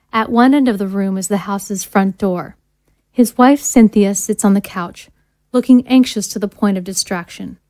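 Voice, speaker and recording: A woman's voice: medium pitch, a little mellow, dry recording. A woman reading scene directions as scripted narration for an audio drama.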